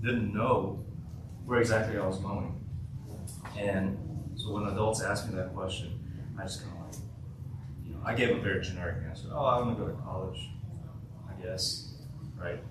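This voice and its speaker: A man's voice: lowish voice, fairly even intonation, moderate pace, pauses often, background noise. A man in his twenties from South Korea, a native Korean speaker, giving a casual youth workshop talk and often asking the audience questions. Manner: conversational, asks the audience many questions. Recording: echoey hall, voice from far off.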